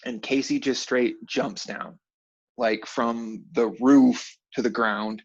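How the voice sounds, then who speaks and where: A man chats casually at a medium pitch, spinning anecdotes with plenty of slang and swearing. He laughs easily along the way. The sound is dull and lo-fi, like an online call. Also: fairly even intonation, slow. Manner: slangy, profane, laughs easily while telling stories. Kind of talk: casual chat, animated storytelling.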